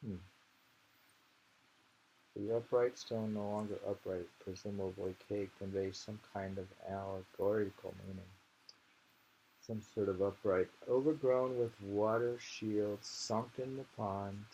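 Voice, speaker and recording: A man reading poetry aloud informally, with musing, halting commentary and frequent pauses, in an amateur recording. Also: fairly low pitch, steady tone, mellow, slight background noise, rough recording.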